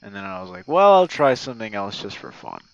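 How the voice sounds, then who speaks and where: Low-voiced young guy rambling casually. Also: huge pitch swings, a little fast, gravelly, dull audio. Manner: laid-back, rambling, self-deprecating, sweary. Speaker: online audio roleplay creator and streamer. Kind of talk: casual audio message to a gamer friend, ASMR roleplay. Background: crickets and night sounds.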